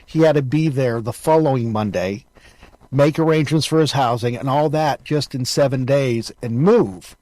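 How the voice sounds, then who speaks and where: A middle-aged man speaks as an interview host in a casual, conversational style. His pitch sits in the middle range, and the sound is dry with little room echo. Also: normal speed, warm tone.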